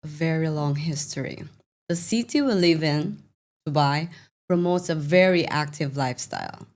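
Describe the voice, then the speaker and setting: A woman's voice: low voice, moderate pace, a little mellow. A woman hosting a podcast interview, putting prepared questions to her guest. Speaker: podcast host.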